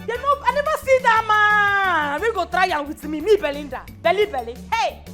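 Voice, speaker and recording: A woman playing a quick-tempered madam, scolding in Nigerian Pidgin with a Nigerian accent and laughing asides. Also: extremely high pitch, lively intonation, pushed and bright, faint music behind, exaggerated voice. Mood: outraged. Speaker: employer scolding her house help. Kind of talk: comedy skit acting.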